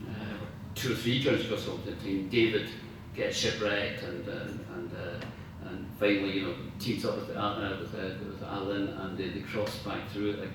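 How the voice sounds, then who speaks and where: A man's voice: on the low side, level tone, normal speed. A man gives an informal speech in a large, strongly reverberant room, picked up from a distance over faint noise. He is enthusiastic and emphatic, often feeling his way towards the next word. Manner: enthusiastic, emphatic, searching for words. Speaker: guest speaker, radio dramatist. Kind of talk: informal after-dinner talk about writing. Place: large indoor room at a club dinner.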